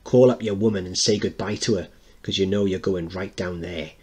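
A man's voice: low-pitched, brisk, a little mellow, breathy edge. A man voicing a child who plays at being a swaggering, commanding American tough guy, in a play reading. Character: children playing tough American lawman, cowboy, sergeant.

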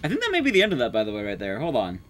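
A man's voice: medium pitch, wildly swooping pitch, rapid-fire, fairly bright tone. A young man giving casual live game commentary as a speedrun streamer, self-deprecating and swearing casually. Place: small indoor streaming room. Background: game music.

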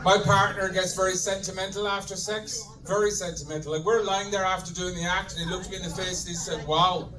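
A middle-aged man with an Irish accent doing a stand-up comedy routine in a deadpan manner, swearing freely and talking straight to the audience. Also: high voice, brisk, hardly any pauses. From Ireland. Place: club stage in front of a laughing audience. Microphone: handheld mic, filmed from the audience. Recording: distant room recording with crowd laughter.

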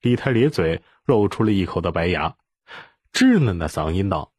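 A man's voice: sits low, big pitch swings, normal speed, darkish tone, slightly rough, no echo, studio quality. A man reading an audiobook as a scripted, dramatic story narration.

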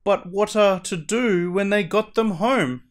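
A man playing a costumed superhero who reads a children's storybook aloud in a theatrical, deliberate way. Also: high voice. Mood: animated storytelling. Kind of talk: animated picture-book read-aloud.

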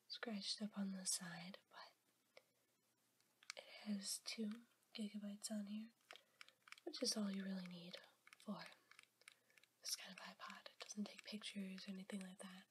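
A young woman whispering softly for an ASMR video while sick, rambling with ums. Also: mid-range voice, a little hiss or hum. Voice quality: whispered, soft. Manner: slow, rambling, with ums and soft laughs. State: sick, voice a bit rough. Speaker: ASMR creator. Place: at a table indoors.

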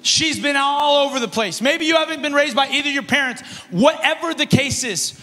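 High-voiced preaching man on stage. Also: fairly quick. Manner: swings from shouted emphasis to low, soft asides; paces and gestures across the stage. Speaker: youth pastor. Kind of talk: energetic sermon. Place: large stage in a concert hall. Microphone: handheld mic. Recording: reverberant hall with faint music.

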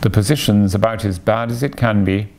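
A man's voice: on the low side, fairly quick, gravelly, a little mellow, a little hiss or hum. A man voicing a grumpy, blunt, exclamatory mole in an animal storybook. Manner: grumbling, exclamatory.

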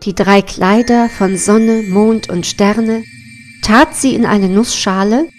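A woman's voice: medium pitch, takes it slowly, faint music behind. A woman reading a fairy tale as a scripted storybook narration.

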